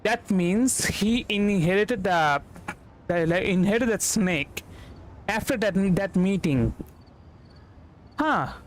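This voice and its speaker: A man from India casually commenting on a game as a streamer, halting, repetitive and informal. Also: high-pitched, expressive, slight background noise. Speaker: streamer, VTuber.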